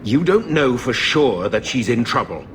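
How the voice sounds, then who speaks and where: A man's voice: medium pitch, big pitch swings, moderate pace, slight background noise, natural voice. An actor playing an anxious, stubborn man in a fantasy game, worried and insistent. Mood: worried denial. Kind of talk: dramatic game acting.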